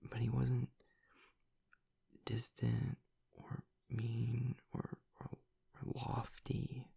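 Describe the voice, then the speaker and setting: A man playing a grieving young werewolf boyfriend, tough but vulnerable, choked up and halting as he confides in an intimate audio roleplay monologue. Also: medium pitch, completely flat delivery, dark tone, full character voice. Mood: reverent, sorrowful. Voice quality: breathy, gravelly near-whisper. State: choked up with grief.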